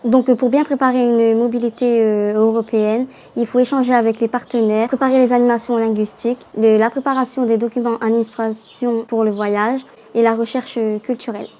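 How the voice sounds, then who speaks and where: A woman's voice: fairly high pitch, little pitch movement, fairly quick, over music. A woman in her twenties, a youth worker trainee, listing points in an interview answer. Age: 20s.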